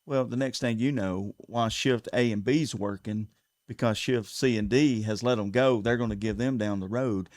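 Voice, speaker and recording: A man's voice: lowish voice, normal speed, a little mellow, no room sound, studio quality. A man with a Southern US accent, running for sheriff, speaking earnestly in a casual interview and repeating words as he builds his points. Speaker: sheriff candidate. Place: local TV studio.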